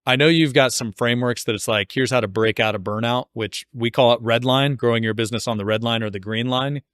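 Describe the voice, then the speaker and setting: A man's voice: medium pitch, fast talker, fairly bright tone, clean tone, dry recording, studio quality. A man hosting a podcast interview in a casual, informal, jokey way, building up loosely to his questions. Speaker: podcast host interviewing an author.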